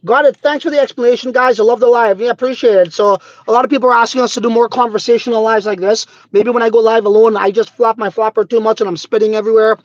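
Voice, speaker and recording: High-voiced excitable man, dull phone audio. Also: fast talker, hardly any pauses, no echo. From Trinidad. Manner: excitable, swears a lot, says bro and dude often. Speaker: crypto livestream host. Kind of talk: casual livestream chat.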